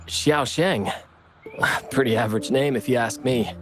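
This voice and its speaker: Guy playing a laid back helpful researcher in a game, average pitch, faint music behind. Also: a little slow, natural voice. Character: casual, helpful male researcher. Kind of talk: scripted video game voice acting.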